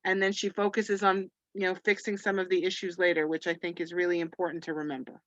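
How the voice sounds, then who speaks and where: A woman's voice: fairly low pitch, flat delivery, quick pace, fairly bright tone, dry recording, dull audio. A woman from Canada whose first language is French, hosting a webinar for teachers and talking casually with lots of "you know" fillers. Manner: chatty, rambling, many "you know" fillers. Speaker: webinar host.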